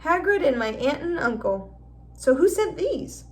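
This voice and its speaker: A woman's voice: high voice, sing-song, measured pace, pitched above the performer's own voice, heavily acted. A woman reading a storybook and voicing a modest, friendly young schoolboy hero with an appreciative manner. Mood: amused curiosity.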